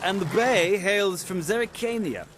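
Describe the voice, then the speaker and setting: A man's voice: high-pitched, measured pace, a bit bright, slight background noise. An actor playing a refined, haughty nobleman who loves horses, speaking in florid, courtly phrases in a video game. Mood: courtly, appreciative. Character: refined, haughty aristocratic horse connoisseur, playful and eager. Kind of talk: video game character acting.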